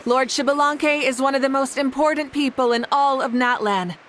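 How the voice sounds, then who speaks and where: A woman voicing a composed female fire goddess who leads her nation, calm, polite and measured in a video game cutscene. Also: high-pitched, a little hiss or hum. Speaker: leader. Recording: game audio with faint music.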